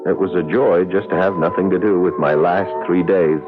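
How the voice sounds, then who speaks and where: An actor playing a mild, bemused young American in a radio drama, conversational in tone. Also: male voice, deep voice, fairly even intonation, normal speed, close to an everyday voice. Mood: wry, reflective. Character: mild, bemused young American doctor telling his story. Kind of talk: radio drama acting, first-person narration. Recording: dull vintage radio, music behind.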